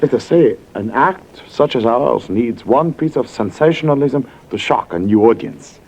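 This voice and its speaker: A man's voice: medium pitch, expressive, normal speed, darkish tone. An actor playing a suave, oily stage mystic and con man in a 1940s radio drama, formal and courtly. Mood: suave, amused. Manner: courtly, formal, smooth-talking. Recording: dull old radio recording.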